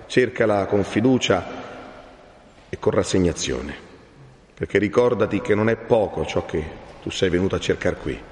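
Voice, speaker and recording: A man's voice: low voice, a little mellow, voice pushed down, close to an everyday voice. A man voicing a solemn, severe old friar with grief in him, grave and admonishing like a preacher, in a dramatised novel reading. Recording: echoey room with long reverb.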